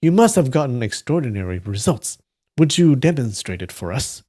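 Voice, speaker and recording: A man's voice: mid-range voice, sing-song, normal speed, dark tone. A man playing a commanding Taoist martial-arts master who speaks formally, in a storybook. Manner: declamatory, formal.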